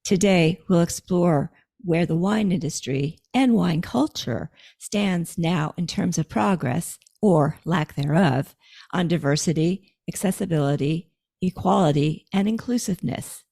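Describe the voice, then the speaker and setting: A mellow, slightly low-voiced woman reads a scripted guest introduction in an even, measured way. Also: creaky. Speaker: podcast co-host.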